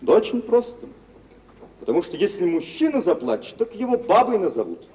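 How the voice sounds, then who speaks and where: An actor playing a suave, self-assured gentleman shipowner in an old Russian radio drama, ironic and smoothly condescending, in a theatrical manner. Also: high voice, big pitch swings, a little fast. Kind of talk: mid-20th-century Russian radio theatre acting. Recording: muffled, hissy old radio drama recording.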